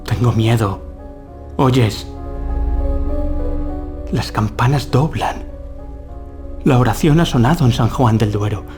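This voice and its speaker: A man's voice: medium pitch, takes it slowly, pauses often, music in the background, natural voice. An actor playing a brave young nobleman haunted by dread, speaking in a solemn, declamatory way in a gothic horror audio tale. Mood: fearful dread.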